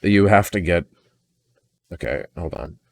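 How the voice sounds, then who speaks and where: A man recording an unscripted personal audio log in a weary, downcast, introspective way, halting and often repeating words as he restarts sentences. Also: low-pitched, vocal fry. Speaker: audio diarist.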